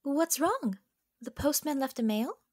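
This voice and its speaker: A woman's voice: high voice, very animated, a little slow, a little mellow, dry recording, clean studio sound. A woman voicing a sweet, bubbly young female hedgehog in a cartoon comic dub, cheerful and affectionate. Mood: delighted.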